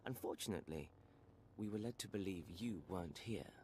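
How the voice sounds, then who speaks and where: An actor playing an arrogant, cruel Imperial villain in a video game, taunting and condescending. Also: male voice, sits low, a little fast, darkish tone, slight background noise, close to an everyday voice. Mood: sneering.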